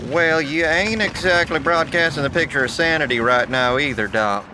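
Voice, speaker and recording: An actor playing a plainspoken, folksy truck driver, wry and placating, in an audio drama. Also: male voice, on the high side, moderate pace, natural voice. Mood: wary, wry. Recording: noisy outdoor vehicle ambience.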